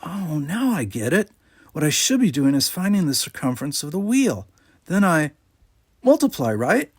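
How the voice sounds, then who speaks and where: A man's voice: fairly high pitch, sing-song, moderate pace, breathy edge, no room sound, pitched above the performer's own voice, exaggerated voice. A man reads the part of a friendly schoolboy in a space storybook. The delivery is earnest and questioning, recorded dry and close. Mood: dawning understanding. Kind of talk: acted dialogue in audiobook reading.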